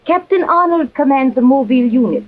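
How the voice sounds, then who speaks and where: A woman's voice: high-pitched, expressive, brisk. An actress playing a poised, mysterious European femme fatale in an old-time radio adventure, coy and formal in her phrasing. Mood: composed, firm. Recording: tinny, band-limited old radio recording.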